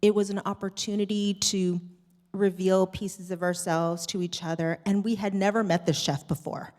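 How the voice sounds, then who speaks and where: A woman's voice: mid-range voice, fairly even intonation, normal speed, a little mellow. Enthusiastic woman in a reverberant hall. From Canada. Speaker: series host on a Q&A panel. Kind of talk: candid panel storytelling. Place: theatre stage at a live screening Q&A. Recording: reverberant hall, stage microphone.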